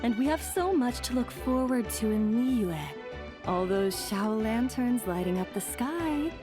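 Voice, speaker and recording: A woman voicing a reassuring mother figure in a game, speaking in a soft, comforting way. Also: on the high side, animated, a little slow. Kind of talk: game voice acting. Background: game music.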